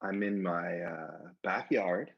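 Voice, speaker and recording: A muffled call-in recording of a man with a fairly low, husky voice. He speaks haltingly and tentatively, as a casual caller to a phone-in show. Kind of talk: hesitant casual phone chat.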